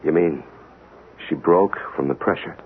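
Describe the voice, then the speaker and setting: A man's voice: low-pitched, a little slow. A male actor playing a rigid, by-the-book government investigator in a 1970s radio drama, insistent, officious and argumentative. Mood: subdued. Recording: tinny, noisy old radio recording.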